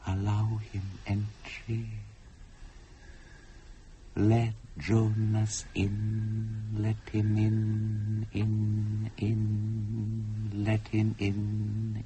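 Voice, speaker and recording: A man acting as a solemn, commanding séance medium in a radio horror drama, with a formal, hypnotic and deliberate delivery. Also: lowish voice, mellow. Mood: insistent chanting.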